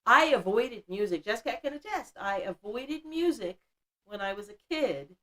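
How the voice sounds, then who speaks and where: A woman's voice: fairly high pitch, animated, normal speed, fairly bright tone. A woman in her fifties hosting a casual livestream, chatty and blunt, laughing often as she reads the chat. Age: 50s. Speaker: livestream host.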